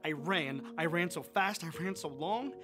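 An impulsive fisherman disciple pours out his guilt in a confessional stage monologue, played by a man. His voice sits a touch high, and instrumental music plays clearly beneath him. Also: normal speed, close to an everyday voice. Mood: grieving. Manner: confessional, swings from wry to anguished outbursts. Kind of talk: first-person dramatic monologue.

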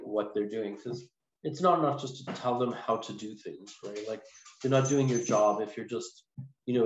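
A man in his forties speaking casually and haltingly as an interview guest over a video call. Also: fairly low pitch, a little mellow. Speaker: podcast guest, lawyer. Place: small indoor room, video call. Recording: echoey, muffled video call with noise.

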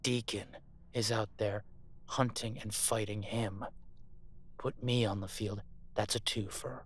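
A man's voice: on the low side, fairly even intonation, measured pace. A man playing a captive werewolf alpha soldier in an audio roleplay, defiant, protective and sardonic as he urgently argues and swears. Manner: profane, sardonic, urgent persuading.

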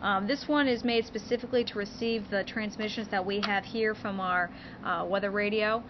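Young female weather service presenter, halting explainer delivery, dull office audio. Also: mid-range voice, steady tone. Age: young adult. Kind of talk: informal educational demonstration. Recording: muffled audio with clear background noise.

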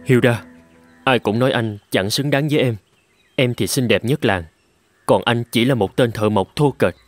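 Music plays under a male actor as a young cartoon carpenter. He is a big, humble, lovelorn fellow who speaks at a middling pitch and broods over every slight. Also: moderate pace, lightly acted. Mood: shy, earnest. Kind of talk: animated fairy tale dubbing. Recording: background music under the dialogue.

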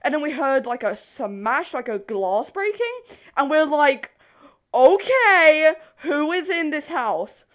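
High, swooping young woman's voice. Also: takes it slowly. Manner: animated, rambling, giggly, easily sidetracked. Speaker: video host. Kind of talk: casual storytelling to camera. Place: bedroom.

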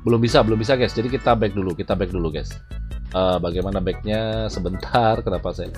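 A man's voice: fairly low pitch, quick pace, darkish tone. A man, chatting casually to viewers as he commentates on mobile gameplay. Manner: chatty, addresses viewers as "guys". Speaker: gaming YouTuber, host. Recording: music behind the voice. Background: music.

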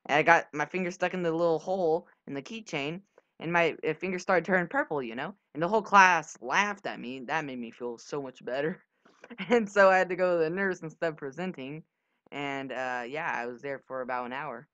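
A man's voice: on the high side, brisk, bright, forceful tone, dry recording, lo-fi sound. A young teenage boy hosting a gaming video, chatting casually and telling stories over gameplay. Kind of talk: casual gameplay chat and storytelling.

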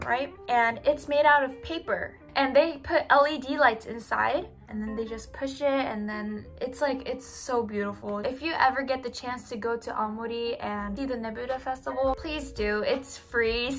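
A young woman from Japan chatting to the camera in her bedroom, animated and giggly, full of "like" and "you know". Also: on the high side. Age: young adult. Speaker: vlogger. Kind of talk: casual chat to camera. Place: small bedroom indoors.

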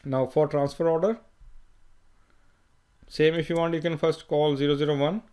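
A man walking through a screen-recorded software tutorial, plainly explaining each step with fillers and self-corrections. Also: a little high, little pitch movement, normal speed, clear, resonant tone. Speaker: software trainer.

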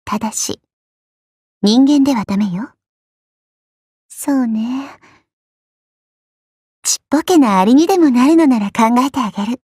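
A woman playing a petite, elegant, coy and haughty goddess in a mobile game, speaking softly with refined, condescending politeness. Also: fairly high pitch, a little slow, long pauses, no room sound, studio quality. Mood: coy teasing. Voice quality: soft, breathy. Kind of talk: Japanese mobile game character voice lines.

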